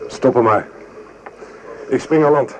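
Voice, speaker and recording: Actor as playful, boyish young soldier. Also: male voice, medium pitch, measured pace, a little hiss or hum, close to an everyday voice, low-quality recording. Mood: eager, boyish. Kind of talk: Dutch radio play acting.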